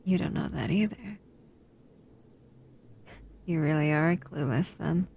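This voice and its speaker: Slow, creaky female monster, acted by a woman, languidly menacing. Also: sits low, a little mellow, slight background noise, heavily acted. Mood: aloof, curious. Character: dangerous female humanoid monster, bored, toying, menacing predator. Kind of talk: slow audio roleplay to the listener.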